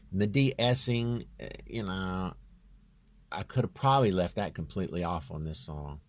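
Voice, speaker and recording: Deep-voiced man in casual tutorial mode. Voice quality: very low, near-bass voice. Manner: rambling, self-deprecating, plain-spoken. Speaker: home-recording singer giving a tutorial. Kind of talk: casual off-the-cuff software walkthrough.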